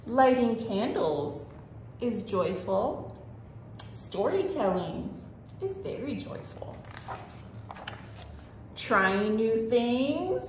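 A woman hosting a children's storytime as a librarian, reading aloud expressively and talking simply to young children. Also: a little high. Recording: roomy echo with faint background noise.

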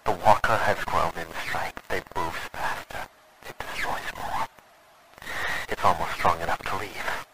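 Man playing desperate man's farewell tape, deep voice, grave, halting, radio drama, heavy background noise. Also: steady tone. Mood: grave resolve. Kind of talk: golden age radio drama acting.

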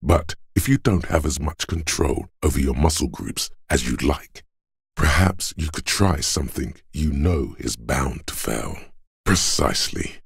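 A man voicing the cold, analytical inner voice of logic in a detective's mind for a video game, with measured, dry, condescending delivery and a very deep, gravelly, husky tone. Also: animated, no echo, full character voice. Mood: dry, deliberate. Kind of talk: video game narration in second person.

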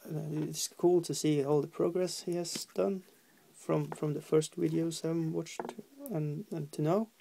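Norwegian-accented man, slow and gravelly, halting hobby-tutorial narration at a desk. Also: on the high side, level tone, mellow, a little hiss or hum. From Norway. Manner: hesitant, halting, many fillers, humming while working. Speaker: hobby painter. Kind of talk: casual painting tutorial. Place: at a desk indoors.

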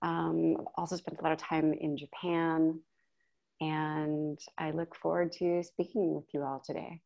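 A woman's voice: sits low, little pitch movement, unhurried, creaky. A woman radio journalist introducing herself on an online panel in a friendly, easygoing way. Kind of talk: casual self-introduction on a video panel. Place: indoor sunlit room on a video call. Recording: dull video call audio.

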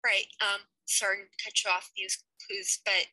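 A woman's voice: mid-range voice, little pitch movement, normal speed, bright, forceful tone, no room sound, low-quality recording. A young woman in her twenties, a channel host making an earnest, rambling casual update from a small room on a headset mic. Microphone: headset mic on webcam.

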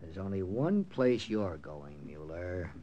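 A man's voice: low-pitched, big pitch swings, slow, dark tone, lo-fi sound, a little hiss or hum, exaggerated voice. A man acting a tough, grim American intelligence captain who narrates an old radio drama tersely. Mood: menacing. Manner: terse, hard-boiled. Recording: old radio recording with music behind.

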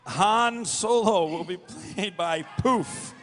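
A man's voice: sits high, slow. A man moderating a panel, announcing the cast for a live reading. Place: large convention hall stage. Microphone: panel microphone through PA. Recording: reverberant hall over PA.

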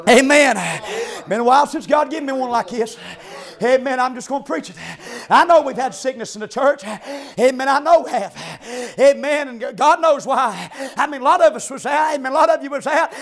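A man preaching a revival sermon in a church, shouting in a chanting cadence and punctuating every phrase with "amen" and a rhythmic "ah.". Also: extremely high pitch, lively intonation, a little fast.

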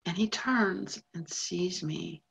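An actress playing a lonely, lovesick woman who mops floors at a train station, talking bluntly and haltingly with plenty of swearing. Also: lowish voice, gravelly, a bit bright, slightly rough, heavily acted, low-quality recording. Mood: yearning. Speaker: actor in a monologue. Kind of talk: stage monologue.

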